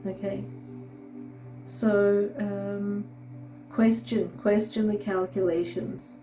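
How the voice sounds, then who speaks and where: A woman talks through a tarot spread in a slow, warm, hoarse voice. Her throat is sore, and she stops often, leaning on "okay" and "um" as she goes. Soft music plays faintly behind her. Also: mid-range voice, fairly even intonation, rough recording. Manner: halting, many fillers and "okay"s. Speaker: tarot reader. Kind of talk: tarot reading monologue.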